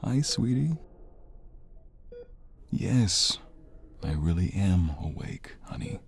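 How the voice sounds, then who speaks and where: A man playing an injured young mobster, a devoted and protective lover, in an intimate, halting audio roleplay with a gravelly, husky voice. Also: low-pitched, sing-song, a little hiss or hum, full character voice. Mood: tender, soothing.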